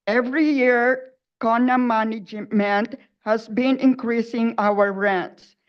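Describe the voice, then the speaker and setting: Older lady, slow formal statement, highish voice, muffled lo-fi sound. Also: steady tone. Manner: formal, careful, reading a statement. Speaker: senior tenant, public commenter. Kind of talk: prepared public comment. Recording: muffled lo-fi meeting audio.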